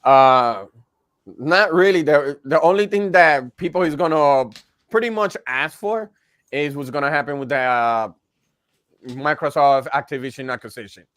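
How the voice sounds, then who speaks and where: A man's voice: fairly high pitch, normal speed, a bit bright, no room sound, clean studio sound. A man chatting casually as a panelist on a video game podcast. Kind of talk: casual panel discussion about video games.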